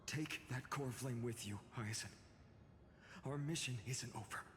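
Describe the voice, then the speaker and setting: An actor playing a resolute, urgent young adventurer in a fantasy game, earnest and commanding. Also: male voice, mid-range voice, a little slow, big-room sound, a little hiss or hum, lightly acted. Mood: grave, urgent. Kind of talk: video game voice acting.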